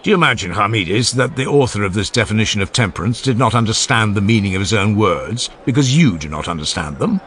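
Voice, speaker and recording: A proud older Athenian man, as a male narrator plays him argues his case in a low voice. He is formal, insistent and easily irritated, with emphatic rises and falls, in a dramatized audiobook with faint noise behind. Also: lively intonation, quick pace, few pauses, a bit bright. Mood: indignant. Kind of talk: dramatized philosophical dialogue audiobook.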